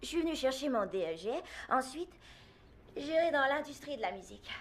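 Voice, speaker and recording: A woman's voice: high voice, animated, brisk. A young woman dubbing a cocky, sarcastic student in a French film dub. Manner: cheeky, sarcastic.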